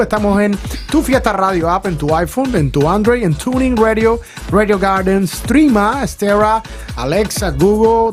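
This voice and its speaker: High-pitched male bilingual morning radio host. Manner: animated announcer delivery, switches between Spanish and English. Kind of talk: upbeat bilingual morning radio show hosting. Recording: faint music bed behind. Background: faint music.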